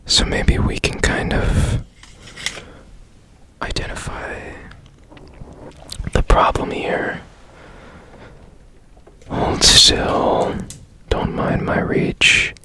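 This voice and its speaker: A man playing a strange, curious, gently reassuring repairman in a whispered ASMR roleplay, speaking politely and haltingly in a breathy hush. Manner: slow, hushed, polite, halting.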